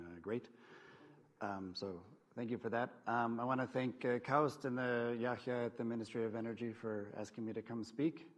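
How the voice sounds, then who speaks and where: Casual man giving a conference talk. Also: medium pitch. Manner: casual, filler-heavy, trailing off softly. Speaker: conference speaker. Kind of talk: conference presentation. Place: lecture hall stage.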